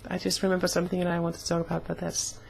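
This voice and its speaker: A young woman talking casually in a personal vlog, slightly hoarse, with an earnest, halting way of speaking. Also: on the low side, monotone, darkish tone, a little hiss or hum. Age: young adult. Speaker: vlogger.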